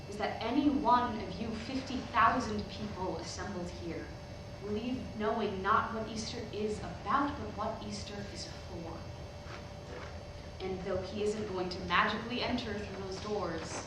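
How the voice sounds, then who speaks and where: A woman's voice: medium pitch, close to an everyday voice. Woman playing an earnest teenage preacher's daughter, echoey hall. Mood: earnest, resolute. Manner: halting, hesitant public address with rhetorical questions. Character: earnest eighteen-year-old preacher's daughter, nervous but defiant. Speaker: stage actor in a staged reading. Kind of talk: sermon in a staged play reading. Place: indoor hall, recorded from the audience. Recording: very echoey hall, distant microphone, noisy.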